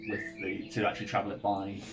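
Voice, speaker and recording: A man's voice: fairly low pitch, completely flat delivery, quick pace, vocal fry. A man chatting casually as game master of a tabletop game, explaining things informally and making it up as he goes. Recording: music playing underneath. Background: ambient music.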